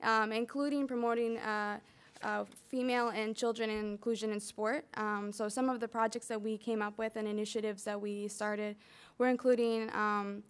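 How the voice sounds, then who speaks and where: A woman's voice: a little high, steady tone, normal speed, clear, resonant tone. A woman in her early twenties from the United States, giving a prepared student speech at a podium with casual asides. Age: early 20s. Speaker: student speaker. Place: lecture hall, at a podium.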